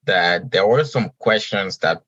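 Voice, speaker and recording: A man from Senegal with a very low voice talks in an easy, conversational way. He pauses and rephrases as he thinks. The recording is dry and a bit muffled. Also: animated, fairly bright tone, no echo. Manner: unhurried, with pauses and restarts. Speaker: podcast host and guest. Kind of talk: conversational interview answers.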